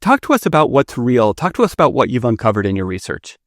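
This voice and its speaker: A man's voice: mid-range voice, big pitch swings, fast talker, no room sound, studio quality. A man who is a native Finnish speaker, hosting a conversational podcast interview. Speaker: podcast host interviewing a guest.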